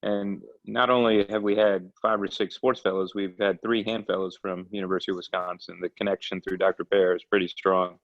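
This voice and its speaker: A man joins in with dry teasing on a video call. His voice is lowish, and the sound is dry and muffled. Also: level tone, brisk, few pauses, no room sound.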